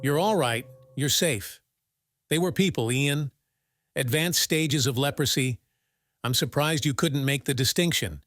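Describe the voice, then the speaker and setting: A man's voice: mid-range voice, a little slow, a bit bright, no room sound, lightly acted. A man playing a level-headed, sardonic soldier medic with a blunt, dry manner in an audio comic. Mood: calm, dry. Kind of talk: dramatized audio comic dub.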